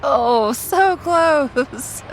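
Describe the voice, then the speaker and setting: Practical sister, wry n fed up, swoopy higher voice, faint traffic behind, audio drama. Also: female voice, lively intonation, extremely slow, heavily acted. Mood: wry, hesitant. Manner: wry, exasperated, conversational. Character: exasperated, practical younger professional sister, wryly reluctant. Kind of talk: naturalistic audio drama acting.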